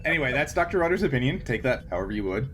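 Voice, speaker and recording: A man's voice: mid-range voice, very fast, slight background noise. A man running a tabletop game as game master, narrating casually with many fillers. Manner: relaxed, filler-heavy narration.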